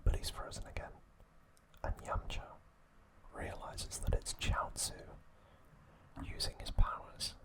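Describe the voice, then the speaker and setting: An ASMR host narrating trivia in a soft, unvoiced whisper, sex indistinct. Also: unhurried, poor audio.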